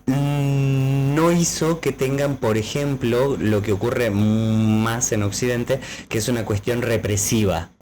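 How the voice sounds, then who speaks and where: A man's voice: mid-range voice, steady tone, takes it slowly, hardly any pauses, darkish tone, slight background noise. A man co-hosting a casual podcast, explaining at length with many fillers. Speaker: podcast co-host.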